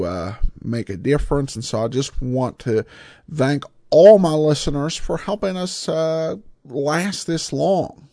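A man hosting a podcast solo in a conversational style, speaking in a halting way with frequent pauses. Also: fairly high pitch, a little mellow, no echo, studio quality. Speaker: podcast host.

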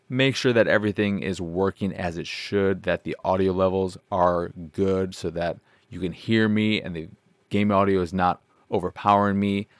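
A man with a deeper than average voice hosting a podcast, rambling casually and self-deprecatingly about his setup, swearing now and then. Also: no room sound. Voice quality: deep voice. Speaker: podcast host. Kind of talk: casual solo podcast chat.

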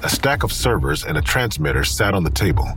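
An actor narrating a crime audio drama in a grave, measured, descriptive storytelling style. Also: male voice, sits low, very animated, music in the background. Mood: grave, suspenseful.

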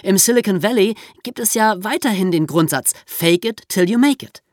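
Woman co-host, animated melody, scripted podcast talk, dry studio sound. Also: medium pitch, normal speed, no room sound. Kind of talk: scripted discussion.

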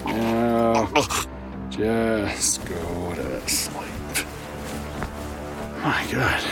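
A man's voice: fairly low pitch, drawn-out words, over music. A man playing a wry, chatty therapist in an audio drama, talking in a hesitant, rambling, sardonic way. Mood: strained, soothing. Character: wry, chatty, flustered male therapist who brawls apologetically. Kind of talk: naturalistic audio drama acting.

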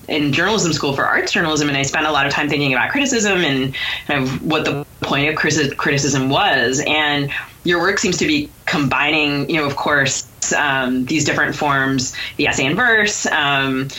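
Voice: mid-range voice, bright, forceful tone, slight background noise, low-quality recording. A podcast co-host in a literary interview, talking discursively and hesitantly while circling toward the point.

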